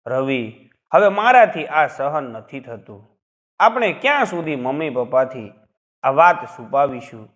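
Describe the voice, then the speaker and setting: Guy doing a worried tearful young wife in a story, pleading, upset, pitch moves around. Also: lively intonation, unhurried, a bit bright. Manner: pleading, distressed. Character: anxious, tearful young married woman in a story.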